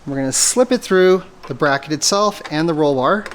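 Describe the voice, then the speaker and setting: Man, high-pitched voice, methodical, explanatory, step-by-step how-to demonstration. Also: expressive, moderate pace, a little hiss or hum. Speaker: product maker presenting installation tutorial.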